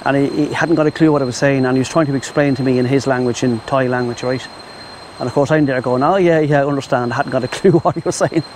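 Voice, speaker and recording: Irish male travel vlogger, rambling and chatty, outdoor lav mic, mid-pitched voice. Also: fairly even intonation, fast, a little mellow, a little hiss or hum, poor audio. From Ireland. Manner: rambling, chatty, addresses viewers as "my friends". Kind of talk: casual travel vlog to camera. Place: outdoors in Thai countryside. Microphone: lavalier mic.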